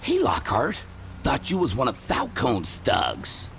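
An actor playing a jumpy, defiant, foul-mouthed junkie in a noir video game, shouty and erratic. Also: male voice, mid-range voice, huge pitch swings, slow, creaky, a little hiss or hum, heavily acted. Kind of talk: film noir motion-comic game acting.

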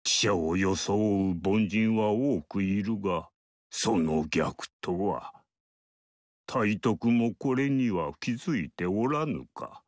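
A lofty, aloof sage mystic. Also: male voice, lowish voice, dry recording, clean studio sound. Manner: measured, lofty archaic speech. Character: aloof male sage mystic, calm and superior. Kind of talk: game character voice lines.

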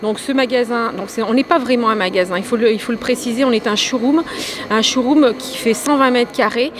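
A woman organizing a wedding fair, answering quickly and enthusiastically into a handheld mic, with many fillers. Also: on the high side, background noise. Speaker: event organizer and shop owner. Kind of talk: interview answer. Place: indoor exhibition hall.

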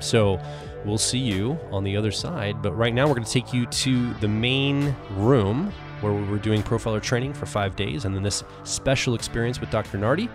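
A man's voice: fairly low pitch, moderate pace, over music. A male podcast co-host talking casually and explaining things, a bit tired after a long week.

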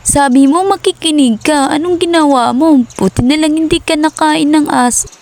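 A woman's voice: high voice, normal speed, a little mellow. A woman playing a worried, loving village mother in a cartoon story, scolding her lazy son and then pleading for him. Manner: scolding then tender, fussing.

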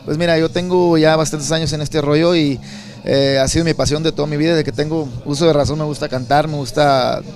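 A Mexican man in his thirties from Sinaloa, answering interview questions at an indoor expo booth into a handheld mic, relaxed and rambling with many repeated words. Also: on the high side, fairly quick. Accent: Sinaloan Mexican Spanish. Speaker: songwriter and singer.

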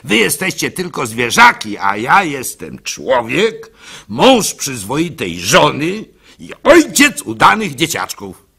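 A trusting, simple village baker tells his story in a radio play. His voice is husky and bright, swinging widely in pitch as he confides and questions himself. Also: exaggerated voice. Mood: excited, nervous. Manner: expressive storytelling, halting and self-questioning. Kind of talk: dramatic first-person radio monologue.

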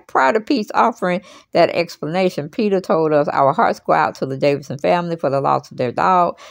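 A woman's voice: low-pitched, creaky, no room sound, clean studio sound. An American woman giving casual commentary as a host, reading an article aloud with chatty, folksy asides. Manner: chatty, folksy, exclaiming asides and repeated check-in phrases. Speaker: host commenting on celebrity news.

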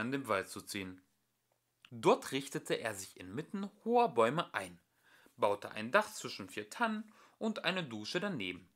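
A man's voice: lowish voice, bright, forceful tone. A man narrating a children's story expressively, as an amateur audiobook reader.

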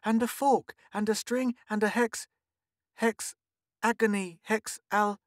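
A narrator voicing a bossy, excitable young girl in a story, impatient and earnest. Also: medium pitch, slow pace, no room sound, studio quality, voice pushed up, lightly acted. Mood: serious, intent.

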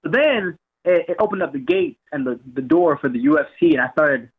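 A young man speaking over a thin, tinny phone line, his voice sitting a bit high. He talks in a loose, rambling way, starting phrases twice before he gets them out. He sounds like a guest who has called in to a show. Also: fairly quick, husky. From Jamaica, raised in Florida. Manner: relaxed, rambling, repeats words. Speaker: guest fighter. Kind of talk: phone-in interview.

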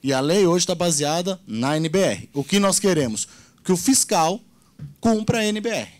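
Man, thirties, slightly higher voice, earnest explainer, handheld mic, council chamber. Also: animated, moderate pace, a little mellow. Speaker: meeting participant. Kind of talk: public meeting discussion.

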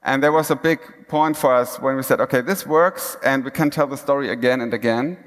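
A man's voice: medium pitch, fairly quick. Man speaking in a reverberant hall. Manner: casual, conversational, frequent restarts and fillers. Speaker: keynote speaker. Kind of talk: conference talk. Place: large conference hall stage.

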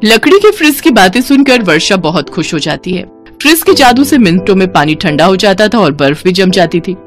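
A woman's voice: medium pitch, sing-song, a little fast. A woman narrating a moral story as a scripted storyteller. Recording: music behind the voice. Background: background music.

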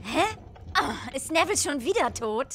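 A woman's voice: sits high, animated, normal speed, fairly bright tone, slight background noise, pitched above the performer's own voice. A woman voicing a bossy know-it-all schoolgirl who lectures pedantically in a comic audio play. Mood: pedantic.

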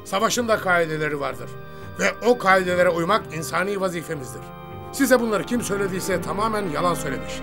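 A man playing a dignified, humane older army commander in a war cartoon, speaking in a solemn, formal, measured way. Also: sits high, animated. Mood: composed, courteous. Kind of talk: cartoon war drama dubbing. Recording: music playing under the voice. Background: background music.

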